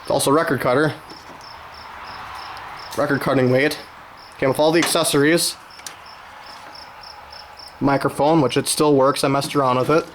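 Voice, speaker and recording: A man's voice: medium pitch, fairly even intonation, moderate pace, long pauses, background noise. A man giving an informal, unscripted show-and-tell as a hobbyist collector, rambling along with folksy enthusiasm. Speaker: vintage radio collector presenting his own channel.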